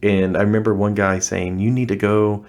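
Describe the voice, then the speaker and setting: Low-voiced man telling his story. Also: level tone, moderate pace, a little mellow. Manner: earnest, recounting events with many restarts. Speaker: interview guest, former missionary. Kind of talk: casual storytelling interview.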